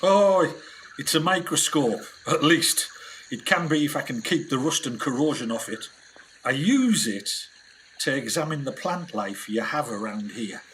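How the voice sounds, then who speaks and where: A man acting in a radio play as a plain-spoken, wary field assistant, halting and brooding in manner. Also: mid-range voice, expressive, a bit bright, slight background noise, low-quality recording. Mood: awkward.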